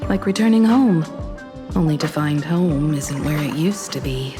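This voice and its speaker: A woman playing a composed, thoughtful, polite traveler in a video game, speaking in a measured, articulate way. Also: low-pitched, animated, over music. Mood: reflective.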